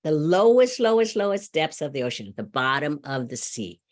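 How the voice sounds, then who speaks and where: Lively low-voiced woman preaching. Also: normal speed. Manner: loud, animated, laughs often. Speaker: speaker at a church service. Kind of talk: sermon talk with a story.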